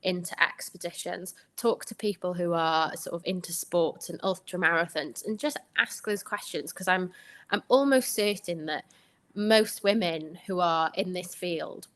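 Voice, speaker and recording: A woman's voice: fairly low pitch, unhurried, a bit bright. A young woman with a Welsh accent, Welsh her first language, answering interview questions in a chatty way, laughing often between her points. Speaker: guest, anaesthetics trainee.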